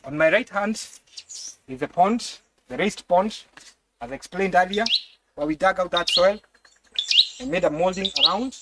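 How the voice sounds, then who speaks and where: A young adult man with a Kenyan accent explaining a farm demonstration on camera, haltingly and with repeated words. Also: high voice. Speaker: fish pond and crop farmer. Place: outdoors on a farm beside ponds. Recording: distant mic, outdoor background noise.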